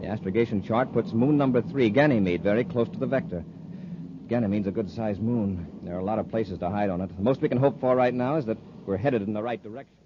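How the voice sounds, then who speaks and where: A man's voice: lowish voice, quick pace, natural voice. A man playing a commanding, authoritative space patrol hero in an American-accented 1950s radio serial. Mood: thoughtful. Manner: authoritative, crisp. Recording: noisy, muffled 1950s radio recording.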